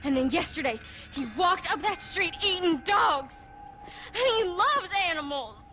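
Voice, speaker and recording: A woman's voice: very high-pitched, lively intonation, unhurried, music in the background. A woman voicing a frightened young country girl in a game cutscene, tearful and rambling. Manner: tearful, rambling, rural folksy speech. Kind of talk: video game cutscene acting.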